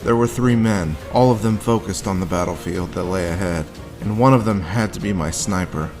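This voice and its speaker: An actor playing a grim, haunted war veteran, telling his story deliberately in a noir audio drama. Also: low voice, music in the background, natural voice. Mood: grim, tense. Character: haunted hard-boiled male war veteran recounting his grim past.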